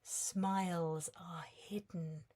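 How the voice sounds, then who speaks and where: Female poetry reader, lower voice, very slow, soft measured delivery. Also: steady tone.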